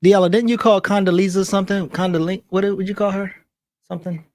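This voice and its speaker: A man's voice: high voice, flat delivery, fast, a little mellow, no echo, studio quality. A man hosting a casual, slangy chat, putting comments and questions to his fellow panelists. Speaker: host reading viewer comments.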